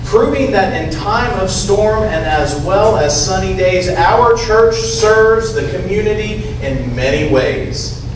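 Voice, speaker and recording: A man's voice: sits high, lively intonation, slow pace, far from the mic. A man reading a rhyming poem aloud in a church readers theater. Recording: echoey church hall from afar, noisy.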